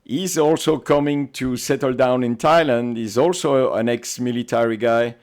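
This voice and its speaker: A man's voice: medium pitch, normal speed. Belgian-accented man telling stories. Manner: animated storyteller, non-native English grammar. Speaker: podcast guest. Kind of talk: casual interview chat.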